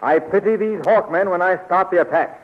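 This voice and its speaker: A man's voice: sits high, a little fast, phone-quality sound, over music, natural voice. A man playing a gallant, earnest heroic commander in a 1930s radio serial, declaiming formally on a thin, scratchy recording. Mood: awed, eager.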